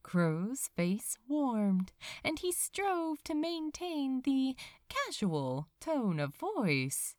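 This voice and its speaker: A woman reading a story aloud as narrator, in an expressive scripted storytelling style. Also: high-pitched, extremely slow, dry recording. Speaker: audiobook-style narrator of fan fiction.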